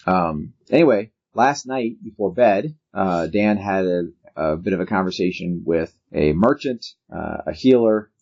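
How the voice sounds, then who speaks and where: Very low male voice, husky and creaky, halting game master narration, dull lo-fi recording. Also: expressive, darkish tone. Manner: halting, thinking aloud as he describes. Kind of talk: narrating a tabletop role-playing game. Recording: dull, lo-fi sound.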